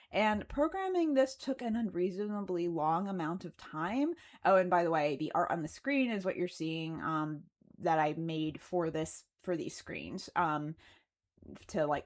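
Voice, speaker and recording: Chatty woman narrating a casual vlog. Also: fairly low pitch. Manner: chatty, conversational, wryly self-deprecating. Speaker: video creator narrating her game development progress. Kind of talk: casual explanatory voice-over.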